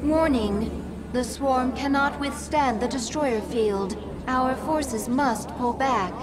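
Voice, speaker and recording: A woman voicing a calm, dutiful alien adviser in a video game, giving measured, detached status reports to her queen. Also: high voice, a crowd in the background. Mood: measured warning. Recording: loud game music behind the voice.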